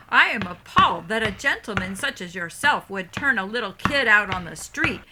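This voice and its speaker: A woman playing a cheeky, mysterious young street boy in a stage play, speaking in a playful, knowing, unhurried way. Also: medium pitch, sing-song, noisy background, natural voice. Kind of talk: theatrical stage-play acting.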